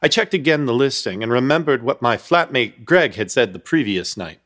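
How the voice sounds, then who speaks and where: A man's voice: medium pitch, lively intonation, normal speed, over music. A man narrating a comic horror radio play in an ornate, mock-grave, wry storytelling manner.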